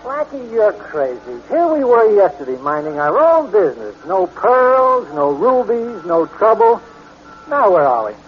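An actor playing an anxious, grumbling crook's sidekick with an exasperated manner in 1940s radio style. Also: male voice, high voice, big pitch swings, unhurried, long pauses. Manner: exasperated, complaining. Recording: muffled, noisy 1940s radio recording.

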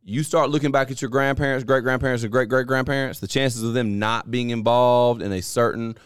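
A man's voice: mid-range voice, steady tone, a little fast, clear, resonant tone, no echo, studio quality. A man hosting a casual podcast chat, talking candidly and at length, often restarting and repeating words as he explains. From Deep South US. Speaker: podcast host.

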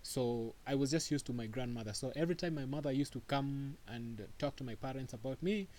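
A man's voice: mid-range voice, a little fast, dark tone. A man from Kenya, a podcast guest answering questions casually and hesitantly, with many fillers and restarts.

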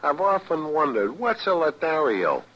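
A hapless, earnest husband in a domestic radio comedy. His voice is a little high and swings about as he pleads. The recording is dull and dated. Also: very animated, moderate pace. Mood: puzzled, placating. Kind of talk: old-time radio domestic comedy sketch.

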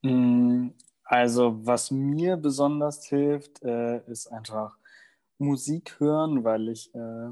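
A young man who grew up in Germany, a university student answering interview questions in a relaxed, casual way. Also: medium pitch. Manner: relaxed, with many fillers. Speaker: guest.

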